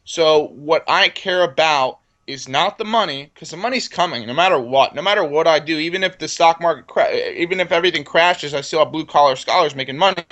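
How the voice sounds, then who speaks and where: A man's voice: fairly high pitch, a little fast, few pauses, fairly bright tone, lo-fi sound. A man in his twenties speaking as an entrepreneur guest in an interview, confident and boastful, driving points home with repeated phrases. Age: 20s. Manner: self-assured, boastful. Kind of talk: conversational business pitch in an interview.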